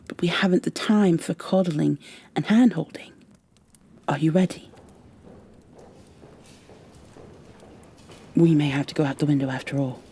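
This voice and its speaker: A woman playing a stern high elf warrior in an ASMR roleplay, with formal, commanding, impatient phrasing and a soft, breathy delivery that often drops toward a whisper. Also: full character voice. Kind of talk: ASMR roleplay, scripted in-character monologue.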